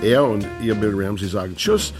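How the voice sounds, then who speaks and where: An older man signing off a radio show with lively flair, from an archive broadcast. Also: lowish voice, normal speed, over music. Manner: lively, swinging sign-offs.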